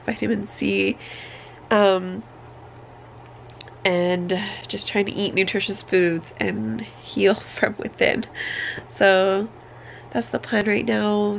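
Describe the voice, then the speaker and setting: Very creaky-voiced woman, stuffed up. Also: medium pitch, a little hiss or hum. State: congested with a cough, sick. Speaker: family vlogger. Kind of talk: casual personal vlog update. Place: small bedroom, indoors. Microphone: camera held at arm's length.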